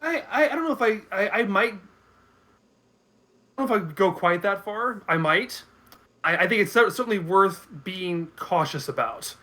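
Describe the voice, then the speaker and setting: High, bright-voiced man thinking out loud. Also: animated. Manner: halting, repeats words as he thinks aloud. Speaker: guest commentator. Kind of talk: casual film and game discussion.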